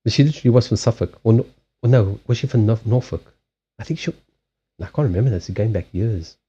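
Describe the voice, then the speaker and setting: Mellow, husky South African-accented man. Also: fairly low pitch, fast talker, lo-fi sound. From Mozambique. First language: Portuguese. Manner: rambling, amused, self-correcting. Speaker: streamer doing card readings. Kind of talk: casual live-stream chat.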